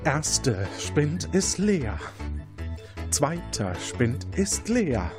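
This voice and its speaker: A man's voice: fairly high pitch, big pitch swings, drawn-out words, over music. A man hosting an interactive crime game, narrating scenes as game master in a partly improvised, playful way.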